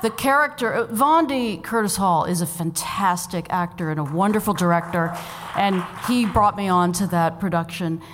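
A woman's voice: fairly low pitch, moderate pace, big-room sound, a little hiss or hum. A woman guest on a live comedy quiz show, telling stories with dry, self-mocking humor. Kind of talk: storytelling anecdotes on stage. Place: live stage before an audience.

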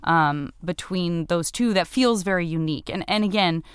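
A woman from Arizona hosts a casual conversation in a smooth, clear voice that sits a little low. She rambles and restarts her thoughts, poking fun at herself, and the recording is close and dry. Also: moderate pace, no echo. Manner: rambling, self-deprecating, restarts often. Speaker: podcast host. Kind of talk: casual chat.

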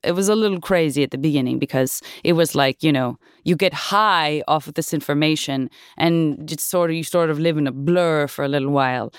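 A woman speaking with a patchy, put-on Dutch-sounding accent, answering interview questions in an improvised comedy with halting, sheepish restarts. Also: low voice, clear, resonant tone, dry recording, clean studio sound. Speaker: interviewee in a mock documentary.

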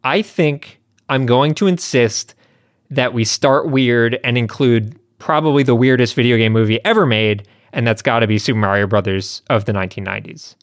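A man's voice: mid-range voice, moderate pace, no echo, clean studio sound. A man co-hosting a podcast, chatting casually as he poses questions and steers the discussion. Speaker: podcast co-host.

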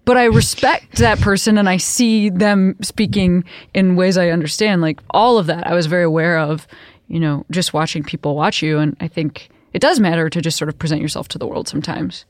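A woman's voice: lowish voice, a little fast, dry recording, studio quality. A woman in her thirties hosting a casual podcast interview, rambling with lots of fillers and self-corrections. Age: 30s. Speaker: podcast host.

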